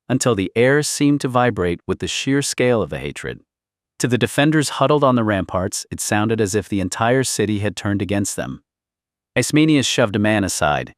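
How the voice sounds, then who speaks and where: A man's voice: fairly low pitch, no room sound, studio quality. A man narrating historical fiction as a scripted audiobook, in a theatrical, intense and suspenseful manner.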